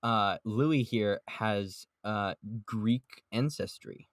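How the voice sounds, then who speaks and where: A man's voice: lowish voice, extremely slow. A man chatting casually and playfully as a podcast co-host on an online voice call. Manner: playful, jokey. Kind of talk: casual chat about manga.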